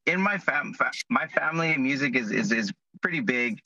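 Laid-back musician from Hawaii. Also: male voice, medium pitch, moderate pace, no room sound, highs cut off. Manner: relaxed, laid-back, hesitant with fillers. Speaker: musician guest. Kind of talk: casual interview answers.

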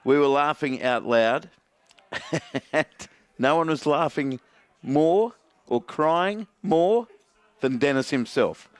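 A man's voice: on the high side, animated, no room sound. Man, Australian accent, radio sports host, casual banter, reads listener texts. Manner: laughing, quick, chatty.

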